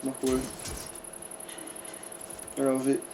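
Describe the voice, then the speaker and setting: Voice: drawn-out words, distant mic, music in the background, low-quality recording. A gamer giving casual, grumbling, sweary commentary while playing a video game.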